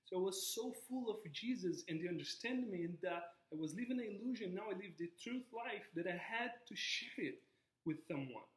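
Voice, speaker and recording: A man's voice: sits high, animated, moderate pace. A man in his twenties from Brazil with a Brazilian Portuguese accent, earnestly sharing his personal testimony to a camera in a living room. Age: 20s. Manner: earnest, gestures with both hands. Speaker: Bible college student. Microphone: camera in front of him. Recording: echoey room.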